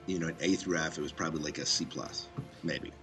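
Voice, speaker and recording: A man hosting a podcast in casual conversation, with halting, tentative phrasing. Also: a bass voice, fairly even intonation, a bit bright, soft background music. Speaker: podcast host interviewer. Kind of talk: casual chat.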